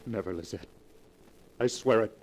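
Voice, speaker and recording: An actor playing a devoted, grief-stricken husband, tender and solemn in 1940s radio melodrama. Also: male voice, on the low side, normal speed, darkish tone, close to an everyday voice. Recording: slightly noisy 1940s radio recording.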